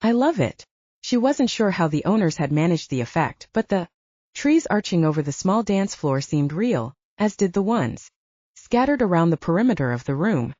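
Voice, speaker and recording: A woman's voice: lowish voice, big pitch swings, moderate pace, darkish tone, no room sound, dull audio. A woman reading a romance novel aloud as a scripted audiobook narrator.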